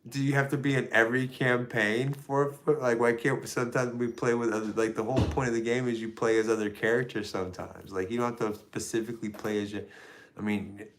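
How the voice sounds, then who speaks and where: A man's voice: mid-range voice, level tone, fairly quick. A man in his forties hosting a live stream, chatting casually in a loose, joking, rambling way. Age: 40s. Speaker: stream host.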